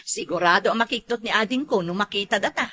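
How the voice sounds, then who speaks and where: Woman acting a bright-voiced, proud Ilocano elder sister. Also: mid-range voice, fast talker, breathy edge. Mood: eager. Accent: Ilocano, northern Philippines. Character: proud, reproachful elder sister who owns a farm. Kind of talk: Ilocano comedy drama acting.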